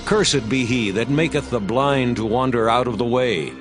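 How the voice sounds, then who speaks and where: An actor playing a Levite priest who sternly proclaims curses before a crowd, in a dramatized scripture reading. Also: male voice, medium pitch, normal speed, close to an everyday voice. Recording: music bed beneath voice.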